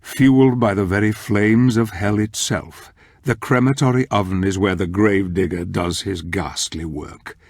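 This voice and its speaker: An actor playing a grave, brooding storyteller in a dark fantasy game, narrating in a theatrical, ominous and deliberate way with a husky voice. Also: male voice, on the low side, fairly even intonation, no echo, studio quality, soft background music. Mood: grim relish. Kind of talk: dramatic scripted narration.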